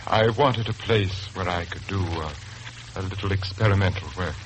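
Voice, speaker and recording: An actor playing a brooding, eerily polite lodger in 1940s radio style, formal and halting. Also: male voice, sits low, background noise, natural voice. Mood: polite, reserved. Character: eerie, brooding male lodger, soft-spoken and polite. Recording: old 1940s radio broadcast, music behind.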